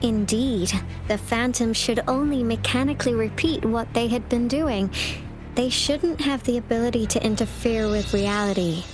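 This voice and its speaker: A woman's voice: fairly high pitch, moderate pace, close to an everyday voice. A woman playing a composed, earnest government official in an English game dub, speaking formally and articulately over music. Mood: grave. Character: composed, earnest female government official overseeing city defenses. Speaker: game character, director of defense fortifications. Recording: studio voice under loud music. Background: game soundtrack music.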